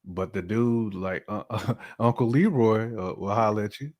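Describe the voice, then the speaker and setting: Low-voiced American man, blunt and slangy. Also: normal speed, a little mellow. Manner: slangy, blunt, emphatic, repeats phrases. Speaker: podcast co-host. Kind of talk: casual opinionated relationship chat.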